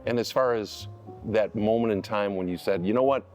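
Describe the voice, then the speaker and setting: Male host of a local TV program, low voice, friendly and earnest interviewer. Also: moderate pace, a little mellow, soft background music. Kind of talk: TV interview hosting.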